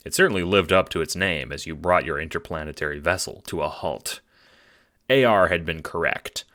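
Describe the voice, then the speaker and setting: Deep-voiced man narrating an audio drama. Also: moderate pace, pushed and bright. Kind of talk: scripted second-person audio drama narration.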